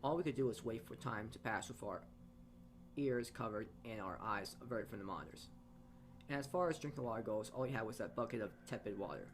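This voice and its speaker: A man's voice: fairly low pitch, lively intonation, fairly quick, a little hiss or hum. A man reading a visual novel's narration aloud as a let's-player, often stumbling and rereading phrases.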